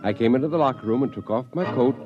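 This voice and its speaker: An actor playing an earnest, anxious bassoonist who narrates his own story in a 1950s radio drama. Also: racing, lightly acted. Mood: rueful. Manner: earnest, flustered, stammering when upset. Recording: dull 1950s radio recording with music.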